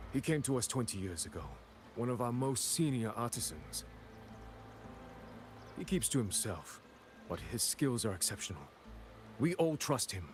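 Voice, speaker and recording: Troubled male artisan, earnest, low voice, deliberate, game dialogue, clear background music. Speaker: artisan character. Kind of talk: scripted video game dialogue.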